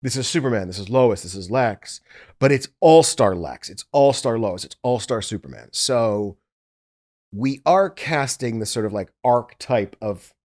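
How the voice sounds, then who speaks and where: A man's voice: mid-range voice, animated, moderate pace, a little mellow. A man speaking casually as an audiobook producer, recounting his work in a storytelling way and quoting his own thoughts. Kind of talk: casual behind-the-scenes conversation.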